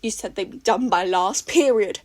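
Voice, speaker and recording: A woman voicing a hot-tempered teenage boy who yells curtly and aggressively. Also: mid-range voice, moderate pace, natural voice. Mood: yelling. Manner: yelling, curt and aggressive.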